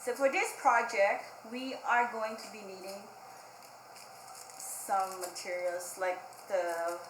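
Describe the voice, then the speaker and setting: A woman's voice: mid-range voice, fairly even intonation, slow pace. A woman hosting a children's library storytime, explaining a craft in simple, repeated phrases and speaking directly to young children. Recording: roomy echo, faint background noise.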